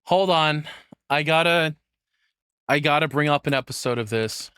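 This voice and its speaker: A man's voice: a little high, moderate pace, pushed and bright, clear, resonant tone, no echo. A man doing casual, rambling reaction commentary as a streamer, informal, profane and opinionated, speaking into a close microphone.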